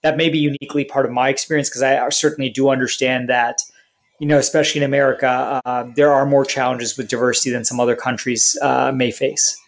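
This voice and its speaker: A man's voice: medium pitch, little pitch movement, fast. A man who grew up in India and Canada, with Hindi as his first language, giving articulate, structured answers as an interview guest. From India, raised in Canada. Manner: articulate, structured, lists points. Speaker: guest, software company CEO.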